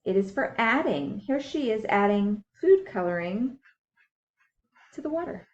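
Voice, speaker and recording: A woman's voice: medium pitch, sing-song, measured pace, a little mellow, highs cut off. A woman teacher reading a science book aloud for young children, explaining things simply and putting questions to her listeners. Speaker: school teacher.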